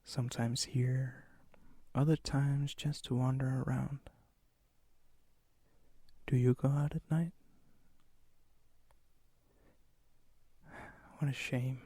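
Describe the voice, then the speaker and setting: A man playing a lonely, gently teasing vampire in an ASMR roleplay, with a soft, breathy voice that often drops into a whisper. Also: mid-range voice, little pitch movement, no echo. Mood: wistful, lonely. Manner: slow, intimate, hesitant, gently teasing.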